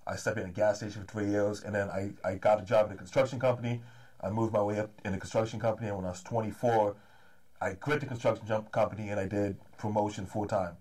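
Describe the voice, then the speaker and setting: Fast-talking man in his thirties, low voice. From Los Angeles area, California. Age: 30s. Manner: fast, energetic, repeats "man" often. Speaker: comedy and hip-hop promoter, guest. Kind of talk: casual interview chat.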